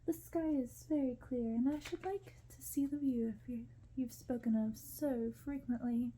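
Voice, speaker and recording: A woman's voice: high voice, moderate pace, mellow, a little hiss or hum, natural voice, rough recording. A woman reading a storybook in ASMR style, voicing a timid, sweet young princess with a meek, girlish manner. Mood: meek, polite.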